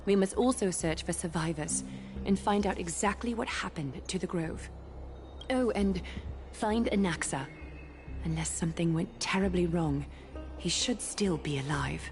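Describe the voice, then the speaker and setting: A serious lady ruler from a game, lowish voice, formal and grave, music playing under it. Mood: grave, composed. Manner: measured, grave, formal speech. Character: composed, solemn woman leader, formal and foreboding. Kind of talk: scripted game story dialogue.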